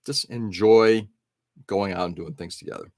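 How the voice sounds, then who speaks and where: A man's voice: on the low side, moderate pace, a little mellow, no room sound, clean studio sound. A middle-aged man hosting an early-morning live stream, rambling casually and answering viewers' chat comments.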